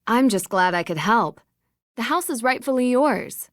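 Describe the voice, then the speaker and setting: A woman's voice: a little high, lively intonation, normal speed, no room sound, studio quality. A young woman voicing a level-headed, polite, earnest female detective in a video game. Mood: kind, encouraging.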